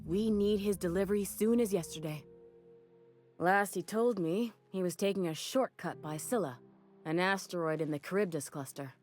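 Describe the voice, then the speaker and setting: An actress playing a brisk, no-nonsense space station trader in a video game, wry, businesslike and faintly exasperated. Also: female voice, lowish voice, a little mellow, soft background music, close to an everyday voice. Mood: businesslike caution. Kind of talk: video game dialogue acting.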